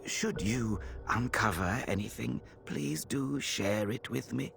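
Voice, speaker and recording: A man playing a courteous, grave healer in a video game, speaking in a formal, deliberate way. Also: medium pitch, vocal fry, background noise. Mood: grave, apologetic. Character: courteous, grave male healer, apologetic and caring. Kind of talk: dramatic video game cutscene acting.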